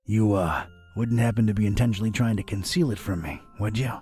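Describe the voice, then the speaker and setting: A man playing a gruff, wry, old-fashioned private detective in an animated video game, probing with old-time gumshoe phrasing. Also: fairly low pitch, fast, soft background music. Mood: dry, probing.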